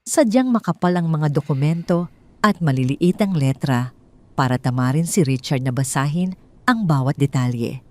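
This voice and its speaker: Female storyteller, low and mellow, for dramatic scripted narration of audio drama. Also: animated, moderate pace.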